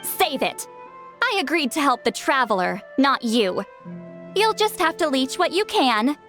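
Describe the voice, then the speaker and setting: A woman's voice: high voice, sing-song, a little slow, fairly bright tone, soft background music, exaggerated voice. A woman playing a proud, prickly centuries-old shaman in an anime-style video game, with a haughty, curt manner. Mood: curt, exasperated. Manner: haughty, curt, theatrical sighs and threats.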